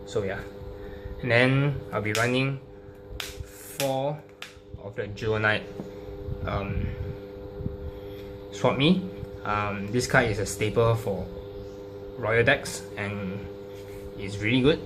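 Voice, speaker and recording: A man casually presenting a card game deck, explaining it unscripted and card by card in a halting, hesitant way. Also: lowish voice. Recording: clearly noisy home recording with hum.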